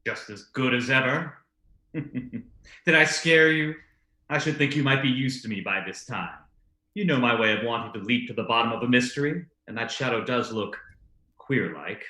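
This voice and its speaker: A man's voice: medium pitch, moderate pace, bright, forceful tone, reverberant, natural voice. A man playing a blustering, volatile brother in an old-time radio ghost drama, theatrical and falsely jovial. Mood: forced nonchalance. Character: blustering, volatile brother hiding guilty dread.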